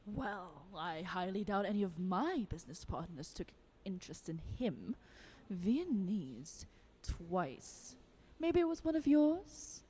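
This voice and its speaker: A woman's voice: lowish voice, very animated, takes it slowly, warm tone, husky, exaggerated voice, low-quality recording. A woman playing a snobbish, art-loving society vampire in an audio drama, with an affected, languid and dismissive manner. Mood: breezy, dismissive.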